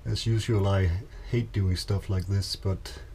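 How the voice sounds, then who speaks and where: A man's voice: low-pitched, steady tone, measured pace, a little mellow. A man in his thirties or forties with a Danish accent, narrating a casual DIY vlog in a hesitant, understated way. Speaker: boat owner and vlogger. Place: small boat cabin. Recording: clear background noise on camera audio.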